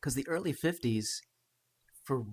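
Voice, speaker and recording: A man's voice: mid-range voice, expressive, a little slow, darkish tone, breathy edge. A man guesting on a podcast, chatting casually and asking curious questions. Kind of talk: casual chat.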